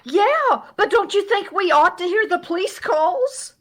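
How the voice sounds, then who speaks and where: An actress playing a suspicious, sharp-tongued wife in a radio comedy, scolding and wry. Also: female voice, extremely high pitch, lively intonation, measured pace, background noise. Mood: exasperated, suspicious. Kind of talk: 1950s radio comedy acting.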